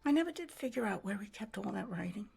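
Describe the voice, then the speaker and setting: A woman's voice: mid-range voice, animated, fast, creaky, darkish tone, husky, heavily acted. An actress playing a weary older widow, haunted and grieving, telling her story in brooding fragments for a video game cutscene. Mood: mournful.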